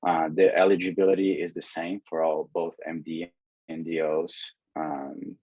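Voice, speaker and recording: A man from Brazil with a very low, husky voice explains things to an audience in an online presentation. His pitch stays flat, and he restarts his sentences often. The sound is dry and close. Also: a little slow, dry recording, highs cut off. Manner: explanatory, with frequent restarts and fillers. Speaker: medical student and mentor presenting. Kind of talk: webinar presentation.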